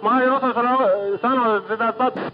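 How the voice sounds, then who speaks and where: A man serving as a ship's officer making a halting, hesitant distress call over a lo-fi marine radio. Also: very high-pitched, flat delivery. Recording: dull lo-fi marine radio recording.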